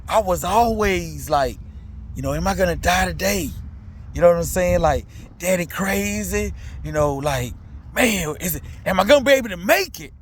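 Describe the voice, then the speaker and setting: A man with a rather high voice tells his own life story in loose, slangy, animated talk. He circles back, repeats phrases, and keeps checking that the listener follows. A faint noise sits under the recording. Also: normal speed. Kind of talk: candid testimonial talk.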